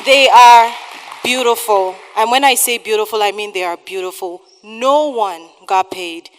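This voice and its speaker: A woman's voice: medium pitch, a little slow. A woman giving an emphatic thank-you speech as producer to a live audience, repeating words for stress. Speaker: theatre producer thanking cast and sponsors. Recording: reverberant hall, some background noise.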